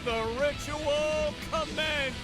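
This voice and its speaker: A man's voice: very high voice, steady tone, slow pace, over music. A man voicing a gleefully vengeful goth teenage outcast in a video game, theatrical, sneering and gloating. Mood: triumphant. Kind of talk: video game villain voice acting. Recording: loud game soundtrack over the voice.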